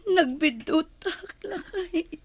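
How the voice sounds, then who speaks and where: Remorseful mother, radio serial: high pitch, emotive, imploring, dry sound. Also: takes it slowly, no room sound. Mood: anguished. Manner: emotional, pleading. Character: remorseful mother returned from working abroad. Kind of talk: radio drama acting.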